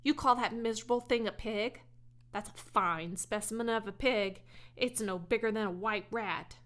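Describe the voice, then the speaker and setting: A woman's voice: mid-range voice, moderate pace, fairly bright tone, lightly acted. A woman voicing a brash, demanding ten-year-old farm boy in a storybook, blunt and scornful.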